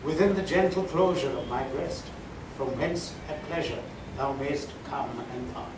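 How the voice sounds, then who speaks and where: A man reciting Shakespearean sonnets as a poetry reader, with deliberate, dramatic phrasing and pauses. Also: mid-range voice. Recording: roomy, distant, clearly noisy live recording.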